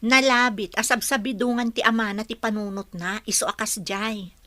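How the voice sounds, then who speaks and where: A woman's voice: on the high side, fairly even intonation, moderate pace, bright, forceful tone, no room sound. A woman playing a sympathetic confidant in an audio drama, speaking earnestly. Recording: close and dry, music underneath.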